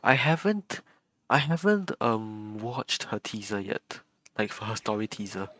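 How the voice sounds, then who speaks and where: A man's voice: lowish voice, big pitch swings, a little slow, fairly bright tone. Chatty man streaming a game. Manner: chatty, laughing, reacting on the fly. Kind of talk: casual live-stream commentary.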